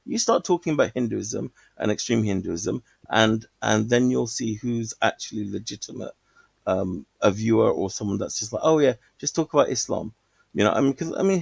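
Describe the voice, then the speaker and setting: A man's voice: on the low side, expressive, a little fast, vocal fry, slightly rough, dry recording. A man calling in to a stream, talking casually and rambling with many fillers and restarts. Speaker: caller. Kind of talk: casual call-in chat.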